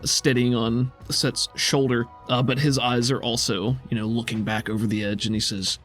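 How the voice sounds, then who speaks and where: A man's voice: mid-range voice, flat delivery, moderate pace, fairly bright tone, soft background music. A man acting as game master on a tabletop roleplaying podcast, narrating scenes descriptively and guiding the players.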